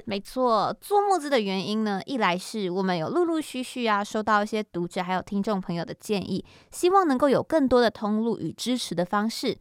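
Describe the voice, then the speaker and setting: A woman's voice: mid-range voice, sing-song, brisk, few pauses, smooth, no room sound, studio quality. A woman with a Taiwanese Mandarin accent co-hosting a podcast in a chatty, self-deprecating way. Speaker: podcast host and audio drama scriptwriter.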